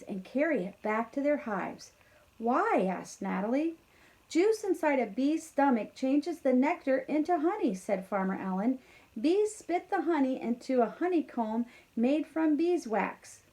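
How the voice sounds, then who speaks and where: A woman with a fairly high voice reads a picture book for young children. She is expressive and encouraging, speaking straight to her listeners in the manner of a teacher, with casual, chatty comments. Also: a little slow. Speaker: teacher reading a bedtime story to pupils. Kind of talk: animated children's read-aloud with casual chatty asides.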